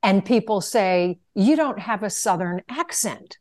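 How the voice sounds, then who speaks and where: A woman's voice: medium pitch, lively intonation, measured pace, darkish tone. A middle-aged woman from Virginia, a mother chatting with her daughters and laughing easily. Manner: laughing, good-humored. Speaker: podcast co-host, mother. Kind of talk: casual chat.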